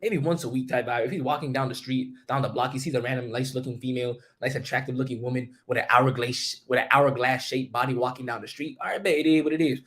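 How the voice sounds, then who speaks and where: A man's voice: mid-range voice, fast, hardly any pauses, fairly bright tone. A young man on a livestream, rambling in a casual, slangy monologue and talking straight to his chat. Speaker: streamer.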